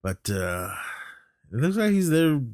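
A man's voice: fairly high pitch, big pitch swings, unhurried, a little mellow, no echo, clean studio sound. A middle-aged man co-hosting a podcast in casual chat, rambling and good-humoured, given to chuckling. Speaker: podcast co-host. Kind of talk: casual chat reviewing wrestling.

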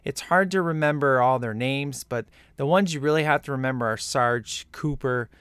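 A man's voice: medium pitch, moderate pace, a bit bright, smooth, dry recording. A man co-hosting a casual movie-review chat, rambling and enthusiastic with lots of fillers. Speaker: podcast co-host reviewing a film.

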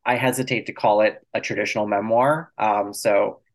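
A man with a fairly low voice speaks as an author being interviewed. He is reflective and articulate, laying out his thoughts in carefully chosen words. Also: steady tone, normal speed. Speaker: author guest.

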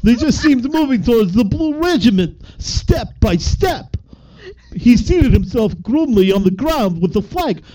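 A man's voice: extremely high pitch, very animated, measured pace, warm tone, pitched above the performer's own voice, full character voice, low-quality recording. A man reading a book aloud in a clumsy put-on Jamaican accent that drifts toward Russian. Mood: hammy. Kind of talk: comedic read-aloud.

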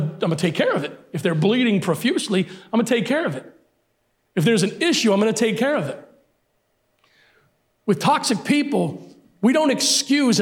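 A middle-aged male pastor preaching a sermon emphatically, repeating his key phrases, his voice sometimes hoarse. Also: high voice.

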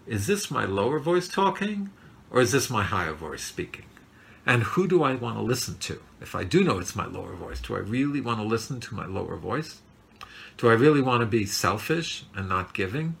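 Male teacher, low gravelly voice with a bright edge, earnest and reflective, many ums. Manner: earnest, reflective, with frequent fillers and self-corrections. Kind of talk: recorded Torah class.

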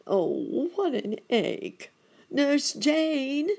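A high, fretful old rabbit gentleman, as a woman reads him aloud. He wails and frets over a toothache, his voice leaping up in animated, quavering exclamations. The storybook voice has a slightly breathy, gravelly edge. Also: takes it slowly, exaggerated voice.

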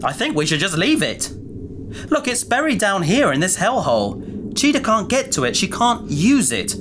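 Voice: medium pitch, big pitch swings, moderate pace, noisy background, close to an everyday voice. An actor playing a caring, practical space adventurer in a sci-fi audio drama, with an earnest, reasoning way of talking. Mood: arguing, exasperated.

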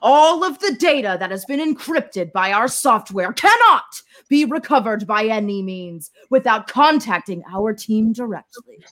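A woman playing a menacing ransomware extortionist, giving a dramatic, drawn-out and threatening reading. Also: mid-range voice, fairly bright tone.

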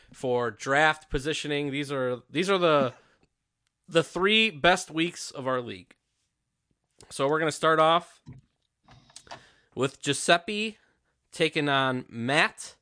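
A man with a bright, forceful voice leads a laid-back sports chat. He rambles a bit and trails off mid-thought. The sound is dry and close. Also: mid-range voice, dry recording. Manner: relaxed, rambling, trails off often. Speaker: podcast host. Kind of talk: casual chat.

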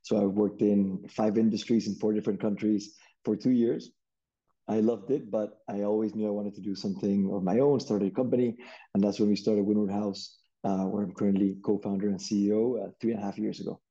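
Casual: young peruvian guy, startup ceo, laid-back answers full of restarts, pretty dark tone. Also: on the low side, brisk, muffled recording. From Peru. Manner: relaxed, frequent restarts and fillers. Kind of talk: conversational interview answers.

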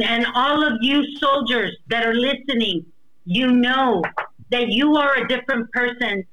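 A woman's voice: fairly high pitch, bright, forceful tone, rough recording. A woman from the United States giving impassioned personal testimony as a guest, emphatic and repeating phrases for weight. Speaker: interview guest, wife of a deported veteran.

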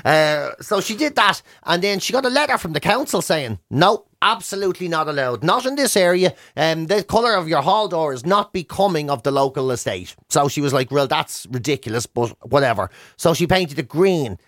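Animated high-voiced Dublin man, comic storyteller. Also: brisk, few pauses, no room sound, clean studio sound. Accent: Dublin Irish. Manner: animated, exasperated comic ranting. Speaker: radio show host. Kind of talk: casual comic banter and storytelling. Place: radio studio.

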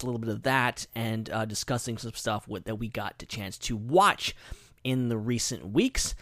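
A man with a lively, swooping delivery hosts a casual podcast. He is effusive and folksy, rolling on at length in chatty phrases. The sound is close and dry, with hardly any room on it. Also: medium pitch, dry recording. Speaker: podcast host. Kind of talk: casual live podcast chat.

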